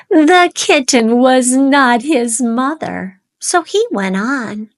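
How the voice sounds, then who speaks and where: A high-pitched woman narrates a storybook for young children. She takes it very slowly and deliberately, keeping phrases simple and speaking directly to her little listeners as their read-aloud host.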